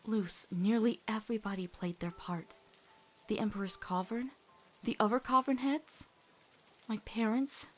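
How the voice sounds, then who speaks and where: A woman voicing a gentle, steadfast teenage witch girl, speaking in a measured, reassuring way in a dramatic comic dub. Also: medium pitch, faint music behind, lightly acted. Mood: firm, consoling.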